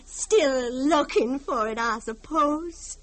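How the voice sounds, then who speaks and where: A woman's voice: sits high, fairly bright tone. An actress playing a haunted, fragile older mother in an old-time radio drama, theatrical, pleading and unhinged. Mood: unhinged, morbid. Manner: theatrical, wheedling, drawn-out pleading.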